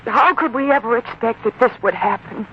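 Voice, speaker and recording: Woman acting a high-voiced earnest young bride, old radio. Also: a little fast, lightly acted. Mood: pleading. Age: young adult. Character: devoted, determined young bride, blacksmith's daughter. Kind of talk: 1940s radio drama acting. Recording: muffled, noisy 1940s radio recording.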